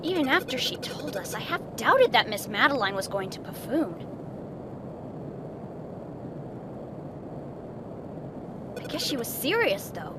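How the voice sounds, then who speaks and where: Young schoolgirl adventurer, female actor: high, questioning, hesitant, noisy fan dub recording. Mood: surprised, worried. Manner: questioning, expressive. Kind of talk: scripted fan dub acting. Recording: rumbling noisy home recording.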